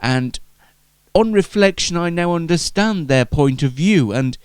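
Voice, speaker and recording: A man's voice: a little high, animated, unhurried, darkish tone, clean tone, dry recording. A man voicing an elderly reformed Victorian miser in a comedy sketch, formal and hesitant, sometimes testy. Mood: reflective, polite.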